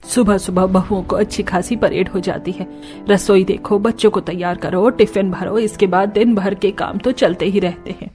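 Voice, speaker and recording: A woman voicing a patient older mother-in-law in a cartoon story, speaking calmly and evenly. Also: medium pitch, moderate pace, soft background music, voice pushed down, natural voice. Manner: calm, explaining. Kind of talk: Hindi cartoon story dialogue.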